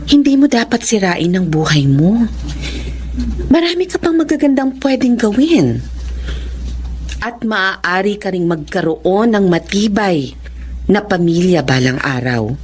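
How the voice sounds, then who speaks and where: A woman playing a caring, composed psychiatrist and mother in a Filipino radio drama, measured and reassuring, slipping into English. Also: fairly low pitch, sing-song, darkish tone, slight background noise. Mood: calm, reassuring.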